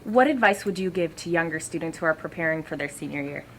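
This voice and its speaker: A woman interviewing students, asking question after question briskly. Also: deep voice, a little hiss or hum, low-quality recording.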